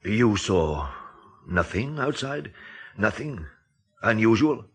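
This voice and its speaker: An actor playing a sceptical, uneasy gentleman in an audio drama, with a husky voice and a halting, intense delivery. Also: lowish voice, very animated, full character voice. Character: sceptical, uneasy male gentleman named Lewis.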